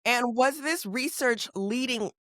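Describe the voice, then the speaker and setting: Lively young female interviewer, chatty and encouraging, recorded dry. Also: fairly high pitch, no echo. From California. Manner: animated, quick follow-up questions with affirming interjections. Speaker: podcast host. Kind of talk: conversational interview.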